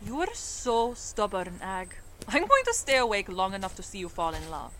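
An actress playing a long-lived, scholarly woman in an audio drama, articulate and measured, with a teasing streak. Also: medium pitch, very animated, bright, forceful tone, a little hiss or hum, low-quality recording. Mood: teasing, fond.

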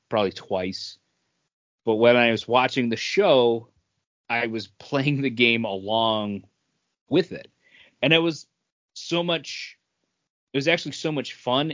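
A man co-hosting a podcast, chatting casually about pop culture as he explains his opinions with halting self-corrections. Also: medium pitch, fairly bright tone, no room sound, muffled recording. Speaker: podcast co-host.

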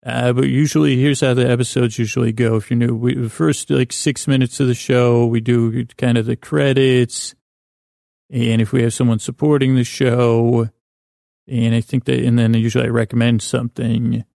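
A man with a creaky voice hosting a podcast, delivering a meandering, halting, self-deprecating bedtime monologue full of digressions and pauses. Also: mid-range voice, flat delivery, dark tone, no room sound, clean studio sound. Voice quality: creaky, gravelly. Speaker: podcast host.

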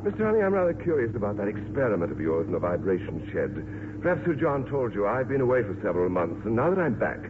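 An actor playing a dry, curious aircraft official in a 1950s radio drama, with a polite, probing manner. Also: male voice, on the low side, sing-song, fast talker. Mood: wry curiosity. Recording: muffled, noisy 1950s radio recording.

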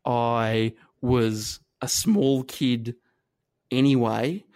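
An Australian man, maybe in his thirties, telling his story as an interview guest. He talks in a halting, self-deprecating way, stopping and restarting often. His pitch sits at an ordinary middle level for a man. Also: darkish tone. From northern New South Wales, Australia. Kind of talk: reflective conversational interview.

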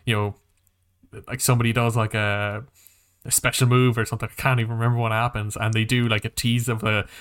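A man's voice: mid-range voice, level tone, a little fast, a bit bright, clean tone. A man co-hosting a podcast in casual film discussion, with a hesitant, rambling way of talking that often restarts phrases. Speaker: podcast co-host.

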